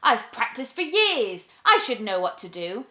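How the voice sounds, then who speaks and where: A woman's voice: fairly high pitch, sing-song, measured pace, bright, forceful tone, reverberant, heavily acted. A woman voicing a hot-tempered, mischievous young witch in a storybook, exclaiming and chanting rhymes dramatically. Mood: dismayed.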